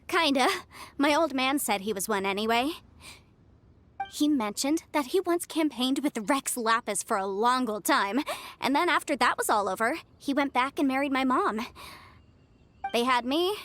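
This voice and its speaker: A woman's voice: high-pitched, fairly bright tone, slight background noise. A woman playing a young, confident and talkative legal advisor in a video game, articulate and businesslike. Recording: music under the voice. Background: background music.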